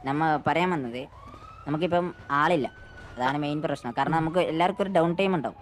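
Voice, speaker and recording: A mid-pitched gamer talks very quickly in roleplay negotiation. Points get repeated and restarted, with faint game noise behind the voice. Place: online game voice chat.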